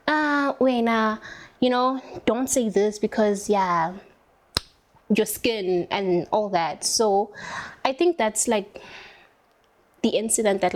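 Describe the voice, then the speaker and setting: A young South African woman talks in a loose, rambling way, with lots of fillers and stretched words. She chats as she applies her makeup, her voice sitting at an ordinary middle pitch. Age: young adult. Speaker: interviewee doing makeup. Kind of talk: casual chat while applying makeup.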